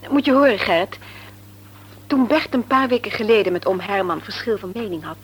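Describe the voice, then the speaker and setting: A woman's voice: medium pitch, expressive, fairly quick, breathy edge. An actress playing a candid but doubtful married woman in a Dutch radio play, with an earnest, forthright manner. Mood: candid, brisk. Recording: slightly noisy radio play recording.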